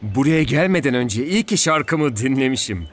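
An actor playing a cool young football striker in a cartoon, with expressive, rhythmic phrasing. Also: male voice, mid-range voice, normal speed, soft background music, lightly acted. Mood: cool, focused. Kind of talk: dubbed children's cartoon dialogue.

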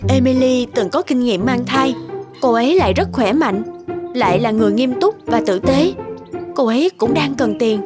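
Glamorous, self-absorbed cartoon wife , female actor: high, breezy, insistent delivery over music. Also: expressive, normal speed, close to an everyday voice. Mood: breezy, businesslike. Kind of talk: Vietnamese cartoon voice acting. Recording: music behind the voice.

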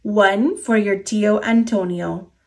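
A woman with an American accent voicing a kind, matter-of-fact mother in a storybook, gentle and plain-spoken. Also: mid-range voice, fairly even intonation, takes it slowly, far from the mic.

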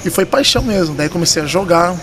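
Male podcast guest, São Paulo accent, high register, animated slangy storyteller; busy crowd murmur behind. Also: fast talker. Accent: São Paulo Brazilian. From São Paulo, Brazil. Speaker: podcast guest, poker dealer and tournament director. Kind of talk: casual storytelling in a podcast interview. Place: poker club room, indoors. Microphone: vintage-style desk microphone.

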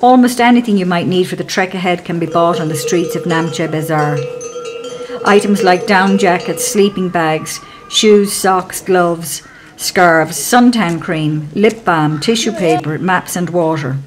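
A woman giving calm, measured, scripted narration for a travel documentary as a voice-over. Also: fairly low pitch.

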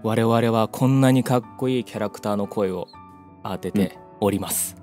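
A man's voice: fairly low pitch, moderate pace, darkish tone, faint music behind. A man co-hosting a talk show with a guest, playfully drawing out his announcements and chatting freely. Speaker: co-host of a voice actors' channel. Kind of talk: lively scripted-then-chatty hosting with a guest.

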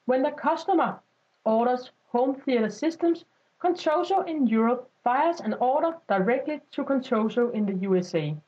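Female tutorial narrator, notably high voice, scripted instructional read, slightly muffled lo-fi sound. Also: warm tone. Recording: dull lo-fi voice-over.

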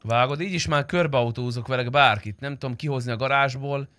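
A man's voice: medium pitch, fairly bright tone, clean tone, no room sound. A young man who streams roleplay gaming, chatting casually with blunt, sweary banter. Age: young adult. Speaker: streamer playing online roleplay game.

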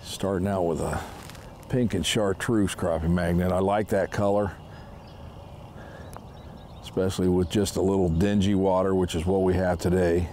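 Low-voiced man explaining fishing outdoors. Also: normal speed, long pauses, a little hiss or hum. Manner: relaxed, explaining as he fishes. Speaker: fishing show host. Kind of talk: casual instructional talk while fishing. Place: small boat on a lake outdoors.

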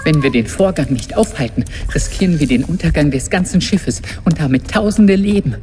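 A man's voice: on the high side, expressive, brisk, dark tone, a little hiss or hum, close to an everyday voice. A man playing a worried ship technician, speaking technically and cautiously. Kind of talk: audio drama acting.

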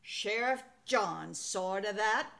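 An older American woman in her sixties reads a children's picture book aloud with expressive, folksy Western storytelling. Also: mid-range voice, slow, gravelly. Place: indoor room at home.

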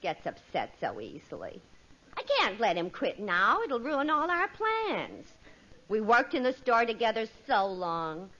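An actress playing a female store clerk with a placating, expressive manner, in 1940s radio comedy style. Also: mid-range voice, unhurried, exaggerated voice. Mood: musing. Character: soothing, then exasperated female store clerk, wife. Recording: dull, noisy old radio recording.

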